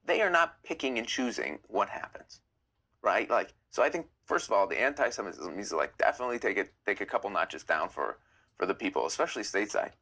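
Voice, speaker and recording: Bright-voiced man chatting live. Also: animated, fast talker. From United States. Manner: chatty, opinionated, gets heated and rises in pitch. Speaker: live-stream host. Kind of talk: casual live-stream chat reading comments.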